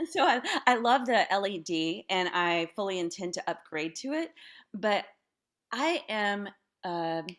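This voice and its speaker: A woman's voice: mid-range voice, expressive, takes it slowly, pauses often, fairly bright tone. A woman politely and thoughtfully putting a conversational question to a panel. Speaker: actor audience member asking a question.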